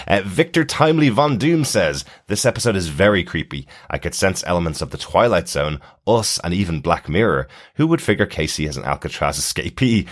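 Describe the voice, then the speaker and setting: A man co-hosting a casual TV review podcast, chatting conversationally and reading out feedback from listeners. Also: deep voice. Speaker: podcast co-host.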